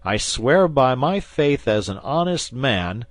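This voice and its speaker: A man's voice: fairly low pitch, animated, normal speed, no echo, close to an everyday voice. A man voicing a fierce young guardsman who questions and threatens a wounded enemy. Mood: threatening. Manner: commanding, stern.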